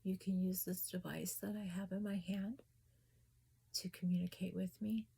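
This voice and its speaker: A woman talking casually about spirits and grief in a soft whisper, hesitant and full of restarts. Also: lowish voice, flat delivery, normal speed, vocal fry, breathy edge. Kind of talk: casual personal talk.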